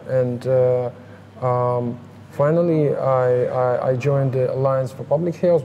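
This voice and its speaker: A man from Ukraine with a Ukrainian accent, answering interview questions in a halting way with many fillers and restarts. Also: mid-range voice, mellow, dull audio, a little hiss or hum. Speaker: interviewee, harm reduction advocate.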